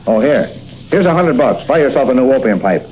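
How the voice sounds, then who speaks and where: An actor playing a sneering gangster extortionist in a 1940s radio drama, on a muffled old recording with faint hiss. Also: male voice, medium pitch, big pitch swings, a little fast, lightly acted. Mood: menacing. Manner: tough, cocky street slang.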